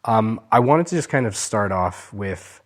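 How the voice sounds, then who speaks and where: Lower-voiced man hosting a casual interview. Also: expressive, measured pace. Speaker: podcast host and interviewer.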